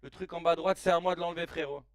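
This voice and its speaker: A man in his late thirties hosting a casual live stream, reacting excitedly to a football match in slangy chat and shouting at the players. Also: fairly high pitch, rapid-fire, smooth, no room sound, studio quality, distant mic. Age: 30s. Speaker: live-stream watch-along host.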